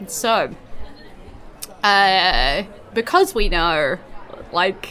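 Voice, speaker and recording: A woman with a New Zealand accent narrating a tabletop game as game master, improvising in a chatty, animated way. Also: lowish voice, drawn-out words, some chatter behind. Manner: animated, conversational.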